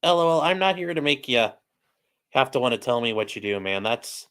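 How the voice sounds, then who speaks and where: A man's voice: medium pitch, animated, fairly quick, a bit bright, clean tone. A man casually chatting with his livestream audience, joking and answering viewer comments in a sarcastic, offhand way. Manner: joking, sarcastic, talks straight to chat. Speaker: streamer and legal commentator. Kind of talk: casual livestream chat reading viewer comments while building a model.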